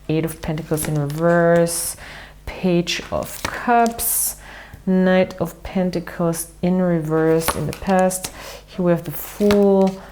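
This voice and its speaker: A woman from Austria with an Austrian German accent, whose first language is German, giving a relaxed, chatty tarot reading to camera with frequent fillers. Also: on the low side, slight background noise. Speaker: tarot reader.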